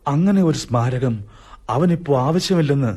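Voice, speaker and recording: A man's voice: on the high side, animated, normal speed, mellow. A man playing a guilty, short-tempered doctor son in a radio drama, hesitant, evasive and blustering. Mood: anxious, evasive. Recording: radio drama over clear music.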